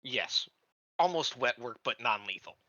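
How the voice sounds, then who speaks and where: A man playing a tabletop roleplaying game, casually improvising his character's backstory in halting, short phrases. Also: medium pitch, expressive, pushed and bright, dry recording, muffled recording.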